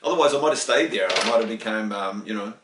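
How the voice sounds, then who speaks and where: A man's voice: lowish voice, quick pace, distant mic, noisy background. A New Zealand man in his late fifties, answering interview questions in a rambling, chatty way with small laughs. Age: 50s. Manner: rambling, with restarts and chuckles. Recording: roomy, voice from the mic.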